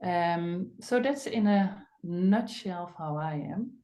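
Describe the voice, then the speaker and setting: A woman's voice: lowish voice, expressive, takes it slowly, dark tone, reverberant. A middle-aged woman from the Netherlands with a Dutch accent, a family doctor hosting a webinar in a chatty way, full of fillers and non-native phrasing. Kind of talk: casual webinar talk.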